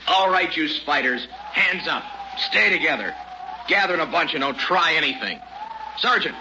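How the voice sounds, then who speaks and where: An actor playing a gruff, contemptuous military officer in an old-time radio drama, brusque and commanding. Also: male voice, on the high side, animated, moderate pace, shouting, natural voice. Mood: barking orders. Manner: brusque, barking orders. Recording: dull lo-fi radio recording, noisy.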